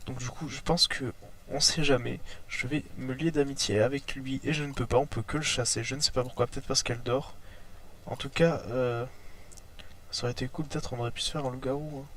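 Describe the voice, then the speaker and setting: Homme enrhumé commentant un jeu. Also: male voice, medium pitch, steady tone, brisk, fairly bright tone, no echo. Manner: chatty, talks to his sim and viewers. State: has a cold, congested throat. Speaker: let's play host. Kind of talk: casual gameplay commentary.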